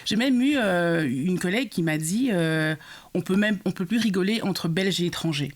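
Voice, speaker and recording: Young woman talking on a podcast, lots of uhs and restarts, gets animated telling it. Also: lowish voice, normal speed. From Congo. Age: young adult. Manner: animated storytelling, many hesitations and restarts. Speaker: guest sharing her experience. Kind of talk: podcast conversation.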